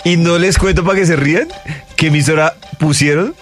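A man's voice: on the high side, steady tone, moderate pace, fairly bright tone, soft background music. A man co-hosting a radio show, telling funny personal anecdotes in casual chat. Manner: joking anecdotal storyteller.

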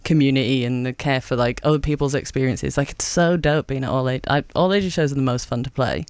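A man's voice: medium pitch, brisk, clear, resonant tone, no room sound. A man hosting an interview in a friendly, enthusiastic, slangy way. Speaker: radio host.

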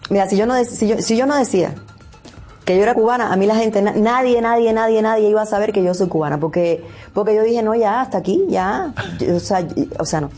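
Cuban-born actress in an interview, earnest, stumbles and repeats herself, warm mellow voice. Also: female voice, medium pitch, faint music behind. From Cuba. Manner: earnest, halting, repeats words.

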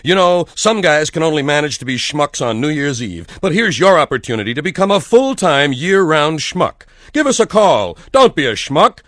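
A man's voice: a little high, big pitch swings, normal speed, few pauses, fairly bright tone, no room sound, clean studio sound. A man delivering a parody advertisement as mock-earnest sales patter. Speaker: comic pitchman.

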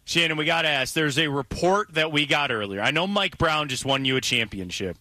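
A man's voice: fairly high pitch, fairly quick, bright, forceful tone, dry recording. A man from Cleveland with an American accent, hosting a casual, conversational sports talk radio show. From Cleveland, Ohio, USA.

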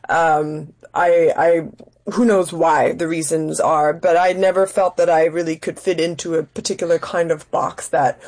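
A woman from Denmark, an actress and writer, answering interview questions in a thoughtful, measured way. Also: low voice, little pitch movement, darkish tone. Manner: thoughtful, measured, searching for words.

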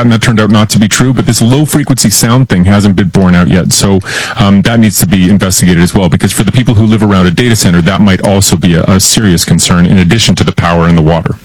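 A man with a slightly deep voice talks as an expert guest on radio. He explains in a measured, conversational manner and qualifies his claims with care. The sound is very dry and close, with little room in it. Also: darkish tone, dry recording, poor audio. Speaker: expert guest explaining science and tech news.

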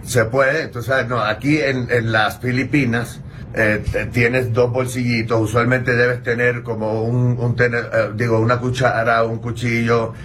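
A man's voice: mid-range voice, little pitch movement, measured pace, a bit bright, slight background noise. A man with a Puerto Rican accent, a guest chatting casually and rambling, with many fillers and restarts. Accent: Puerto Rican Spanish. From Puerto Rico. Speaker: interview guest. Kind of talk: casual chat.

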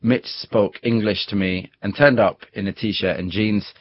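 Male radio host, lowish voice, calm scripted narration, muffled dry studio audio. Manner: measured, storytelling. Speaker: radio interviewer and presenter. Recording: dull muffled broadcast audio.

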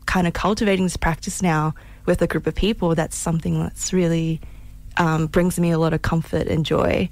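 A woman from Malaysia talks on a community radio show in a low, gravelly voice. She is soft-spoken and searching, pausing often with fillers. The studio sound is dry and close. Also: dry recording. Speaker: radio co-host. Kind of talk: reflective radio conversation.

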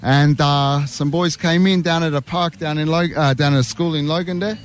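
A man's voice: fairly high pitch, steady tone, moderate pace. A man, a talkative interview guest who rambles with lots of fillers at an outdoor community event. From Armenian heritage. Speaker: interview guest, youth worker. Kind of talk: casual chat.

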